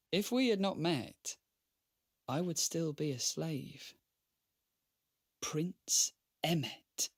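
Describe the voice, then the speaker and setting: Radio drama role: man as silver-tongued merchant, courteous and formal, gently teasing, with lively, wide-moving intonation. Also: on the high side, slightly rough, full character voice. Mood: grateful. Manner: formal, flattering, eloquent. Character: courteous, teasing male merchant, a grateful former slave. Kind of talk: scripted radio drama acting.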